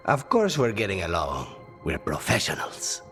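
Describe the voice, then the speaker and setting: An actor playing a dry, measured contract killer with a soft spot for cooking in a video game. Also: male voice, low voice, sing-song, faint music behind. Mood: dry, wry. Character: dry, professional contract killer who loves cooking and coffee. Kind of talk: video game cutscene acting.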